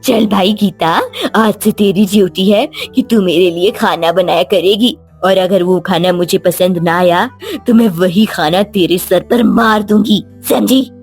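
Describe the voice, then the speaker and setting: Woman playing a husky, bossy witch. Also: medium pitch, fairly even intonation, measured pace, darkish tone, faint music behind. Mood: bossy, threatening. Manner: scolding, domineering. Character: bossy, cruel witch who enslaves children. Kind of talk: Hindi animated children's story acting.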